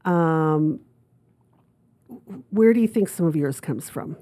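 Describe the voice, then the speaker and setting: A woman hosts a podcast in a bright studio with a lowish, mellow voice. She chats casually and supportively, prompting her guest with questions. She is close on a boom-arm mic. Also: animated, takes it slowly. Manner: supportive, asks questions, searches for words. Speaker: podcast host. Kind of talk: casual interview chat. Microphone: desk mic on boom arm.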